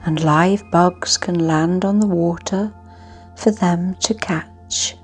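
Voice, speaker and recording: A woman narrating a scripted guided sleep meditation in a soothing, hushed manner with long pauses. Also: fairly low pitch, fairly even intonation, husky. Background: music.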